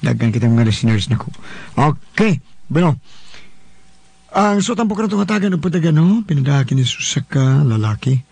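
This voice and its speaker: A man's voice: a little high, sing-song, a little fast, vocal fry, darkish tone, breathy edge. A man with a Cebuano Filipino accent hosting on radio, greeting listeners informally and often tagging phrases for agreement. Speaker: radio host. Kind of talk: radio greetings and letter introduction.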